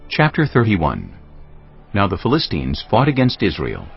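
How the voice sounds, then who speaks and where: A man narrating dramatized scripture in a solemn, measured storytelling manner. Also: on the low side, wildly swooping pitch, gravelly. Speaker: Bible narrator. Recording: dull audio with music behind. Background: background music.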